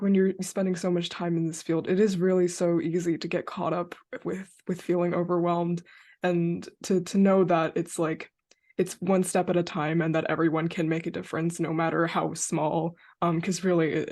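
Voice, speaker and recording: A young woman hosts a casual interview in a mellow, relaxed voice that sits a little low. She feels her way through her phrasing with some hesitation. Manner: hesitant, frequent "like". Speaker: podcast host.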